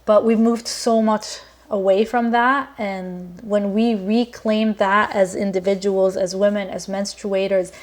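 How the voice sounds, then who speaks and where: An earnest woman from Lebanon talks through her ideas in relaxed conversation. She circles back often, with plenty of fillers and restarts, and her pitch sits in the middle. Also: unhurried, few pauses. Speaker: guest. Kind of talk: casual conversation.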